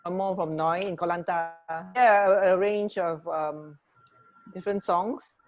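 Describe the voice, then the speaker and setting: A woman co-hosting a radio show in casual chat, halting and often rephrasing mid-sentence. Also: on the low side, steady tone, darkish tone, lo-fi sound, faint music behind.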